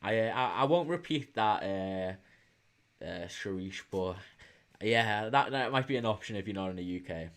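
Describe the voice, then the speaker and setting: A man's voice: lowish voice, lively intonation, a little slow. A man with a Liverpool accent hosting a casual football watch-along stream, chatting informally and hesitantly as he answers viewers' comments. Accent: Liverpool English. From England, Liverpool. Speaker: livestream host.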